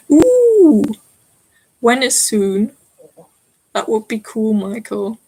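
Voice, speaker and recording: A woman's voice: on the high side, dark tone, slightly rough, poor audio. A young woman in her twenties with a German accent, hosting a live stitching stream and chatting to viewers about their comments, giggling often. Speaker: stitching channel host.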